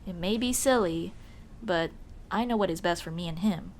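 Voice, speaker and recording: A woman playing a young tomboy childhood friend in an ASMR roleplay, speaking in casual boyish slang with hesitant pauses. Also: on the low side, darkish tone, a little hiss or hum, lightly acted. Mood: flustered, hesitant. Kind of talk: ASMR roleplay, intimate one-sided dialogue.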